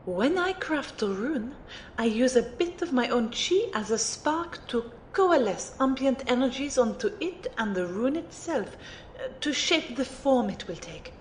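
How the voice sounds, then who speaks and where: A woman's voice: sits high, expressive, a little slow, slight background noise. A woman playing a haughty but fond mage mentor in an audio drama, with a condescending, theatrical manner. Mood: lecturing. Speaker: voice actor in an amateur audio drama. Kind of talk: acted dialogue in an audio drama.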